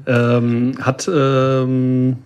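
A man interviewing a guest for radio, asking questions with many pauses and fillers. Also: medium pitch, completely flat delivery, darkish tone, clear, resonant tone.

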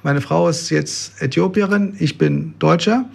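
A man in his fifties, a pastor, telling his story in an interview with relaxed hesitations. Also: on the high side, unhurried, vocal fry, slightly rough. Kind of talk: interview conversation.

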